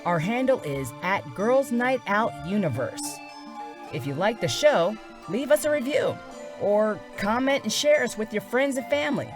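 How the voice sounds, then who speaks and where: A woman's voice: mid-range voice, big pitch swings, a little slow, music in the background. A woman announcer reading scripted credits and notices for a fiction podcast.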